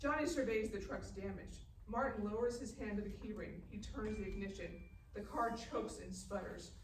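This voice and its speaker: Picked up at a distance in an echoey room with faint noise, a woman reads scripted stage directions. Her pitch is medium, in a narrator's delivery. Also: normal speed. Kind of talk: scripted narration at live table read. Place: live reading in a festival room.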